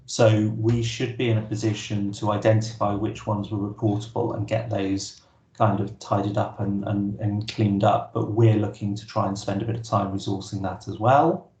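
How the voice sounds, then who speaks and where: A man's voice: on the low side, level tone, moderate pace, a little mellow. Hesitant man, roomy echo. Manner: casual, hesitant, many restarts. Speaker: workshop presenter. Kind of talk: online workshop Q&A.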